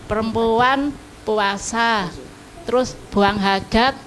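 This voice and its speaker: Female questioner, fairly high voice, informal short phrases, recorded at a distance with noticeable noise. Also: steady tone, takes it slowly, fairly bright tone. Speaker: audience member asking a question. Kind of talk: casual spoken question. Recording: distant voice with clear background noise.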